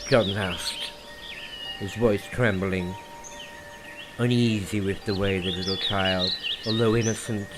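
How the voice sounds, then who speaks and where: A man narrating a dramatic horror story in a theatrical way, with deliberate pauses. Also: lowish voice, steady tone, noisy background. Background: music.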